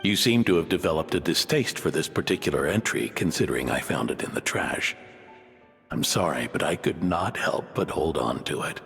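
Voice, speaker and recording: An actor playing a gentle aging father and inventor, reading his letters aloud in a tender, reflective, gravelly voice. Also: extremely low pitch, animated, moderate pace, full character voice. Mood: fond, apologetic. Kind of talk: dramatic voice acting of letters read as voice-over. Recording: long reverb over soft music.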